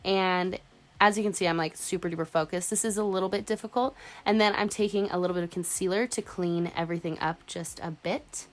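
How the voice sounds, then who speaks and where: A woman's voice: fairly low pitch, steady tone, fairly quick. A young woman in her twenties with a congested cold voice, chatting casually through a makeup tutorial voice-over. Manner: chatty, casual, uses "like" a lot. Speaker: beauty vlogger.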